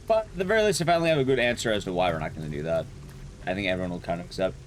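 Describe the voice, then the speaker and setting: A young man with a loud, carrying voice chatting casually with his viewers while streaming a game, rambling and restarting sentences as he goes. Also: rapid-fire, slight background noise. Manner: rambling, restarts sentences, lively rises and drops in pitch. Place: his room at home, soundproofed.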